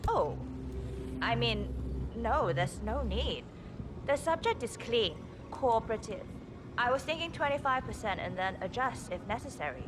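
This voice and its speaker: A woman's voice: on the high side, sing-song, measured pace, music in the background. A woman voicing an anxious, devoted lab assistant who speaks cautiously and hesitantly in a dramatic video game. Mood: nervous, hesitant. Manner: hesitant, pleading. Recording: clear background noise.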